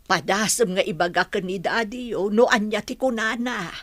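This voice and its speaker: A woman's voice: mid-range voice, normal speed, a bit bright, no room sound, lightly acted. A woman with an Ilocano accent playing a concerned grandmother in a radio drama. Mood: concerned, gentle. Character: grandmother consoling her troubled daughter and grandchild.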